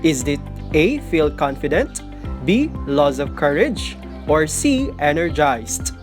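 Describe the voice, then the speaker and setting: A woman's voice: low voice, big pitch swings, slow. A woman teacher giving scripted, instructional narration for a video lesson. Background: music.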